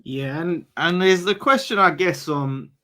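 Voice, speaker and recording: Bright-voiced man chiming in. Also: a little high, unhurried.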